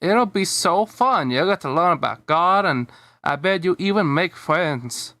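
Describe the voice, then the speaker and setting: A man's voice: fairly high pitch, expressive, a little slow, sharp tone, dry recording, exaggerated voice. A man voicing a reassuring cartoon cucumber mother, coaxing and drawing out the words.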